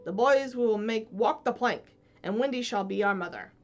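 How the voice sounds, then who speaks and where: A woman doing a loud, rattled pirate captain. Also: a little high, moderate pace, muffled recording, faint music behind, lightly acted. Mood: scheming. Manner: loud, commanding, demanding. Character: furious, shaken male pirate captain. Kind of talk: storybook read-aloud.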